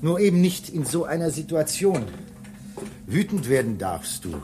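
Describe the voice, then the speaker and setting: An actor playing a talkative, excitable space station technician in a German radio drama, lecturing his colleague in an insistent, teasing way. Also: on the high side, normal speed. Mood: insistent, enthused. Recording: clear background noise behind dialogue.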